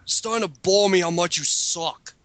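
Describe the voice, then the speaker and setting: A man's voice: sits high, normal speed, a crowd in the background. A man hosting a casual group critique chat for an online video. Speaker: video host.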